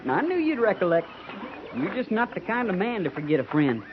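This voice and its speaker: An actor playing an eager, admiring young cavalry trooper with an earnest, rustic way of talking, in 1950s radio drama style. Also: male voice, high-pitched, sing-song, brisk. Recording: muffled 1950s radio broadcast, faint hiss.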